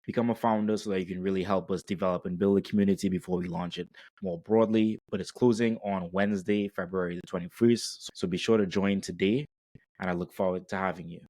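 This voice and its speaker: Male podcast host and interviewer, fairly low register, husky texture. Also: fast talker, a little mellow. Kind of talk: podcast intro and interview hosting.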